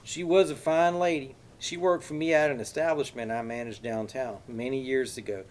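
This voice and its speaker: A man voicing an older graying man with an exaggerated Texas accent, folksy and courteous but quietly threatening. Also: mid-range voice, fairly even intonation, normal speed, pitched above the performer's own voice, natural voice. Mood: polite menace. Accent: exaggerated Texas drawl.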